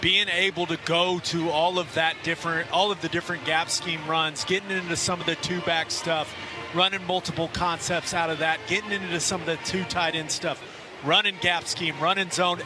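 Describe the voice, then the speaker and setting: Male football analyst, slightly high voice. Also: steady tone, normal speed, music in the background. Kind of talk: technical live football analysis.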